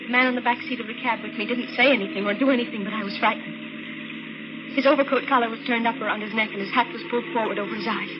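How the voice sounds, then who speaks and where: A woman acts out an anxious, pleading female passenger in a vintage radio thriller. Her voice is high and hesitant, on a muffled recording thick with noise. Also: fairly even intonation, fast talker, close to an everyday voice. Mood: hushed dread. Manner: breathless, hesitant, melodramatic. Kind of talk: old-time radio thriller acting.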